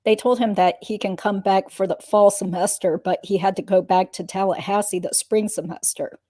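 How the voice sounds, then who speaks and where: A woman acts as a guarded female witness in a legal deposition reading. Her voice is soft-edged and mellow, at a middling pitch. Answers come measured and matter-of-fact. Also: fairly even intonation, natural voice. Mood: guarded, factual. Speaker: reader playing a witness.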